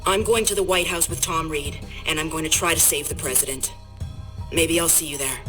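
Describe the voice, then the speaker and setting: An actress playing an insistent, direct intelligence officer in a video game. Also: female voice, low voice, fairly quick, lightly acted. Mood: resolute, grave. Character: urgent, steely female intelligence officer. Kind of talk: video game cutscene acting. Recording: music underneath.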